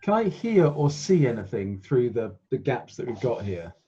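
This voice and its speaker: Very mellow, husky male game player. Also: mid-range voice, big pitch swings, normal speed, muffled recording, faint music behind. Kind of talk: casual in-game chat.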